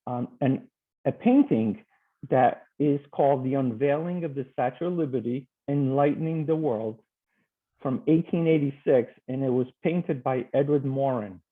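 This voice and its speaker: A man from Portugal teaches over a video call, friendly and a little hesitant, filling pauses with ums as he walks through his slides. His voice comes through a thin, tinny call line. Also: mid-range voice. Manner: friendly, hesitant, with many fillers.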